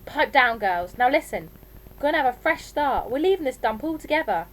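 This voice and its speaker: Woman acting a bossy working-class mum, lively and emphatic. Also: sits high, fairly quick, pitched above the performer's own voice, poor audio. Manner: scolding, emphatic, colloquial. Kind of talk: audiobook character voice.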